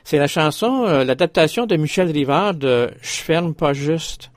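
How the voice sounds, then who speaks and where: A male radio host speaks in a measured, polite way. His voice sits a little high. The studio sound is dry and close. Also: expressive, no echo. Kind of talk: radio interview.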